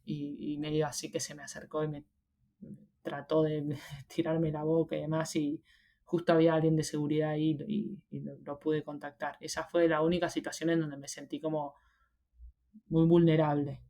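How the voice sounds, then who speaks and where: A woman's voice: low-pitched, little pitch movement, moderate pace, dark tone. A young Argentine woman with an Argentine Spanish accent, talking reflectively as an interview guest, often hesitating and restarting. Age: young adult. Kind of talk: casual interview conversation.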